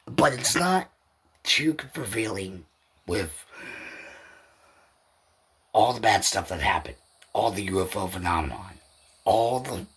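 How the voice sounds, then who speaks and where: A man with a deep and heavily husky voice hosts a solo podcast. He speaks informally and without a script, wandering from point to point and often circling back to repeat himself. The delivery is loose and conversational, with a rough, breathy texture throughout. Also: animated, takes it slowly, long pauses, fairly bright tone. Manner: rambling, repetitive, informal. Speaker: podcast host. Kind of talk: unscripted solo podcast talk.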